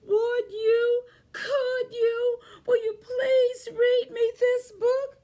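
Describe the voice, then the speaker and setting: A woman's voice: very high-pitched, flat delivery, drawn-out words, warm tone, pitched above the performer's own voice, heavily acted. A woman voicing an eager, tearful child in a storybook, with a pleading, emphatic manner. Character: Duncan, eager, tearful child who loves books.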